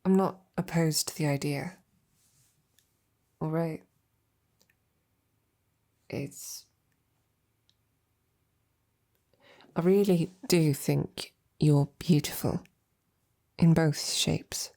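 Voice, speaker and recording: A woman playing a loving, flustered lady knight in an intimate roleplay audio drama, speaking softly and haltingly with tender, teasing affection. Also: low-pitched, steady tone. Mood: earnest, tender. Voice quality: soft, breathy, husky. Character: loving, flustered female royal knight courting a princess.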